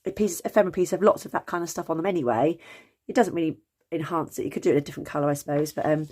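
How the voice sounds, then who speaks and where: A woman with a slightly croaky voice, chatting casually through a craft tutorial with lots of ums and self-corrections. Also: low-pitched, racing, darkish tone. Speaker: crafting channel host.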